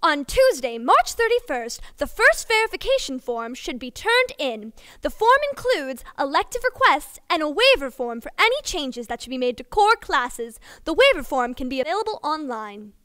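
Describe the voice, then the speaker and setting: A woman's voice: sits high, big pitch swings, normal speed, bright, forceful tone, no echo, clean studio sound, faint music behind. A woman reading scripted announcements as a school show announcer.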